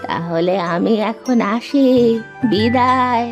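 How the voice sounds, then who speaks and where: A woman as a slow, mysterious old village woman. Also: on the high side, lo-fi sound, music in the background. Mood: solemn, kindly. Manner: slow, drawn-out, knowing.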